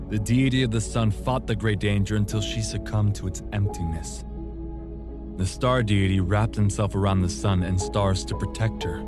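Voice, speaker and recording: A man's voice: fairly low pitch, natural voice. A man playing a grave storyteller, narrating an ancient legend in a scripted fantasy audio drama. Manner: solemn, measured storytelling. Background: music.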